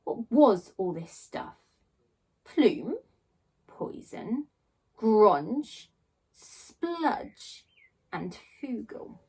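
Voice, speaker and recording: A woman reading a children's story aloud in a theatrical way, with dramatic pauses and drawn-out emphasis on key words. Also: on the high side, sing-song, dark tone, slightly rough. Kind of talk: expressive children's storybook narration.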